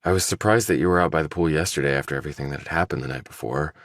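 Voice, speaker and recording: A man's voice: very deep voice, rapid-fire, no room sound, clean studio sound. A man voicing a guarded, probing ex-soldier turned investigator, terse and questioning, in an audiobook. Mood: probing, polite. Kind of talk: audiobook character dialogue.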